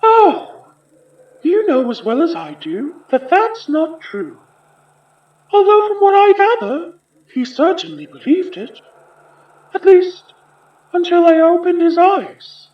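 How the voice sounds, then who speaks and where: A malevolent female spirit with a high voice that swoops theatrically. She speaks with slow, condescending courtesy and taunts her prey. The sound is distant and echoey, as in a horror audio drama. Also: big pitch swings, muffled recording, full character voice. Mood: mocking. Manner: theatrical, taunting, polite menace. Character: possessing spirit, a polished, menacing, condescending sorceress.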